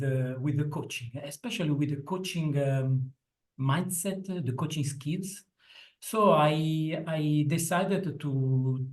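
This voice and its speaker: A man's voice: a little high, a little mellow. A middle-aged man with an Italian accent, answering interview questions with many fillers and restarts. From Italy. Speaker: interview guest, internal coach. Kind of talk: conversational interview.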